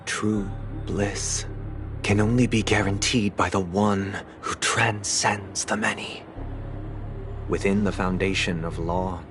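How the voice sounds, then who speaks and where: An actor playing a calm, persuasive young male leader who speaks in a measured, formal, gently condescending way. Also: fairly low pitch. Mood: solemn. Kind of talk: video game voice acting. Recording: game cutscene with loud score. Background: orchestral music.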